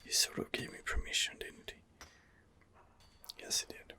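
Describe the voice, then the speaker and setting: A man softly narrating an ASMR game playthrough in character, hesitant and musing to himself in a hushed voice. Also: moderate pace. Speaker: host of a roleplay gameplay series.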